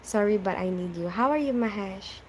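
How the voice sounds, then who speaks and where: A woman's voice: medium pitch, moderate pace, a little hiss or hum. Chatty Filipino-raised woman live streaming. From Philippines. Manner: chatty, reads viewer names and answers them, laughs often. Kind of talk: casual live stream chat reading comments.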